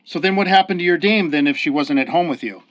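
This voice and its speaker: Actor as sneering, higher-voiced hard-boiled cop. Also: male voice, fast talker, a bit bright, lightly acted, low-quality recording. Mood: suspicious. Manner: sarcastic, slangy, contemptuous. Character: cynical, sneering hard-boiled male cop, quick to accuse. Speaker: police interrogator. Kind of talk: 1930s gangster radio drama acting.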